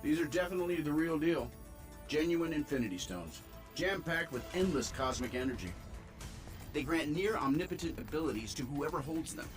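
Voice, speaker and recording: A man's voice: a little high, expressive, brisk, music in the background, natural voice. An actor playing a cocky, witty male genius inventor who makes wry, joking quips.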